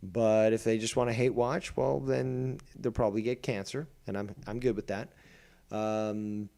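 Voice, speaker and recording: A man's voice: fairly low pitch, steady tone, unhurried, vocal fry, mellow. Combative, profane male streamer. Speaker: streamer host. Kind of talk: livestream commentary.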